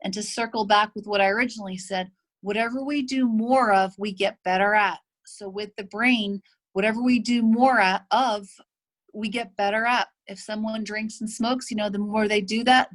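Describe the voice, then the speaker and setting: A woman chiropractor and health practice owner gives a conversational talk to an association. She often checks in with "make sense?" and acts out her patients' remarks. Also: medium pitch. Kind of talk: presentation to an association.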